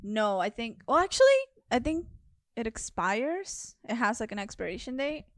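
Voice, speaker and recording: A woman from Peru guests on a casual couch podcast. Her voice is a little high and swings widely in pitch, and she chats in a bubbly, giggly way. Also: animated, moderate pace, fairly bright tone. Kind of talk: casual chat.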